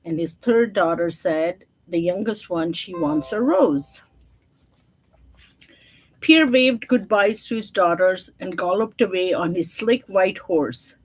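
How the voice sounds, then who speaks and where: A woman's voice: on the low side, expressive, slow, slightly rough, low-quality recording. A woman storyteller reading a children's picture book aloud, with informal, chatty asides to her listeners.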